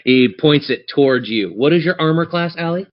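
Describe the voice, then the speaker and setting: A man's voice: fairly high pitch, animated, normal speed. Male game master, slightly muffled sound. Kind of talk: improvised tabletop game narration.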